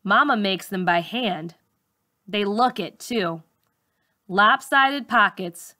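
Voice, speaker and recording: Woman, expressive audiobook narration, unhurried pace, bright forward tone, dramatic emphasis. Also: on the high side. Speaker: teacher reading aloud.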